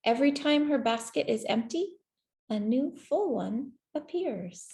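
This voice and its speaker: A woman reading a children's story aloud in a gentle, measured way, with deliberate pauses between phrases. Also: high voice, expressive, gravelly, slight background noise. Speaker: storybook reader. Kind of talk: scripted children's story narration, read aloud.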